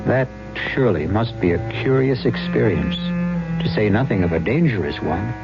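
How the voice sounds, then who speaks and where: A man narrating a 1970s radio mystery as host, theatrical and deliberate with suspenseful pauses. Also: on the low side, animated. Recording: muffled old radio broadcast with music.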